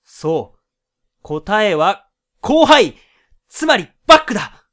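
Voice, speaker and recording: A man playing an exasperated captive contestant in a comedic radio drama, his deadpan asides flaring into loud retorts. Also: sits high, slow, full character voice. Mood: earnest, intense. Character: exasperated male captive contestant, quick with comebacks.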